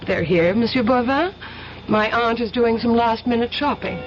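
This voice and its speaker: A woman's voice: fairly high pitch, moderate pace, natural voice. An actress playing a poised, affectionate and determined young woman in a 1970s radio drama. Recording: muffled old radio broadcast, music behind.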